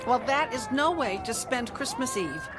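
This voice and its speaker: A woman's voice: sits high, lively intonation, normal speed, noisy background. An actress playing a lonely, unhappy older grandmother in a wistful, plaintive radio drama performance. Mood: disappointed. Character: lonely, unhappy grandmother alone on Christmas Eve.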